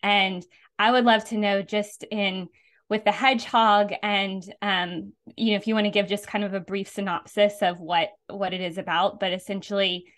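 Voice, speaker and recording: A woman's voice: mid-range voice, fairly even intonation, moderate pace, a bit bright. A woman co-hosting a podcast, asking questions in a loose, chatty way. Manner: chatty, loose, with fillers like "you know". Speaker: podcast co-host. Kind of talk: casual interview questions.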